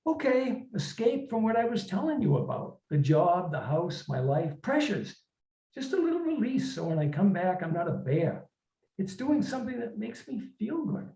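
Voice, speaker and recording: Guy reading as fed-up 40s husband in a story, kinda high voice, tired n griping, echoey room. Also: very animated, moderate pace, vocal fry, warm tone, exaggerated voice. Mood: weary, pleading. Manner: weary, complaining, colloquial. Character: depressed, put-upon married man of forty-two, defensive. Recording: roomy, slightly dull room recording.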